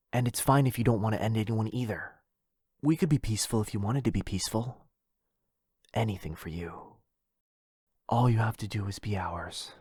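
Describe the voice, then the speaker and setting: A man's voice: on the low side, darkish tone, breathy edge, dry recording, studio quality. A man playing a lovestruck, possessive, centuries-old vampire brother in a boyfriend roleplay, tender and pleading. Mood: devoted menace.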